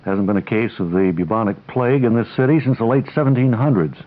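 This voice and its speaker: A pompous young hospital doctor, played by a man, explains things with pedantic, literal-minded certainty. He has a middling male pitch and the deadpan delivery of radio comedy, heard through a very narrow, tinny recording. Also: fast talker, lightly acted. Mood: officious. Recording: tinny, band-limited old radio recording.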